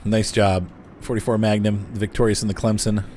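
Middle-aged guy streaming a game, low-ish voice, laid back and chatty, faint hiss. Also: flat delivery, normal speed, mellow. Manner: chatty, easygoing, laughs often, reads chat aloud. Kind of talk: casual gaming live stream chat.

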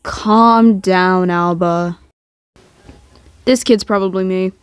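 Woman voicing a low, deadpan, laid-back young man. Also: clear, resonant tone, no echo, lightly acted. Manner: deadpan, unhurried.

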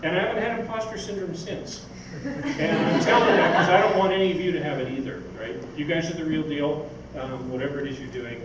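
A man's voice: on the high side, brisk, hardly any pauses. Older man, echoey distant stage talk. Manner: rambling, anecdotal. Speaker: speaker, science fiction writer. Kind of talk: informal stage talk answering audience questions. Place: on stage in an event hall. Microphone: far camera microphone. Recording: echoey hall from far off, noisy.

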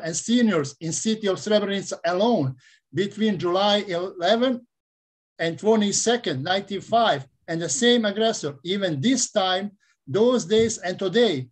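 A man in his sixties from Bosnia with a Bosnian accent, giving a prepared speech as an imam in formal, reverent phrasing over a video call. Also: high-pitched. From Bosnia and Herzegovina. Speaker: imam and forum speaker. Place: indoors in a book-lined office, on a video call. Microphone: computer microphone on a video call.

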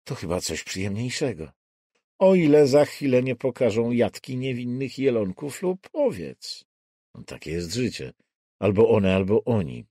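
A man's voice: mid-range voice, sing-song, moderate pace, dark tone, no room sound, studio quality. A man voicing an ironic, teasing young pregnant woman in an audiobook.

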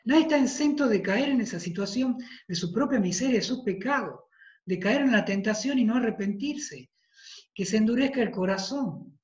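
Tucumán-accented Argentine priest on a video call. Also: male voice, high voice, animated, normal speed. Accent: Tucumán Argentine Spanish. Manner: emphatic, repeats phrases, gestures with hands. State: tired throat, clears throat. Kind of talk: devotional talk over video call. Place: study with bookshelves, indoors. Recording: roomy, dull video-call audio.

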